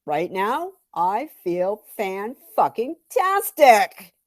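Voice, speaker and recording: A woman's voice: mid-range voice, big pitch swings, extremely slow, dry recording, clean studio sound. A woman reading a first-person story theatrically and expressively, at times dropping toward a whisper. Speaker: author reading own memoir story.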